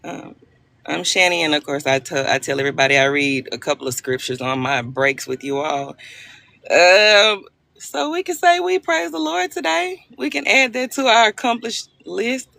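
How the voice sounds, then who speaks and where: A bubbly woman, hosting a live stream and chatting playfully with her viewers. Her voice is bright and carries a gravelly rasp. The sound is close and dry, with no room echo. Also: on the low side, animated, normal speed. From Arkansas. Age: 40s. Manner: chatty, playful, self-deprecating, greets viewers by name. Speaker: livestream host.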